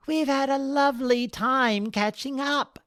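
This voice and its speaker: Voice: high voice, a little slow, fairly bright tone, dry recording, pitched above the performer's own voice. A storyteller voicing an elderly, tipsy goddess who scolds and teases with dry humor. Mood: teasing. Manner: scolding, wry.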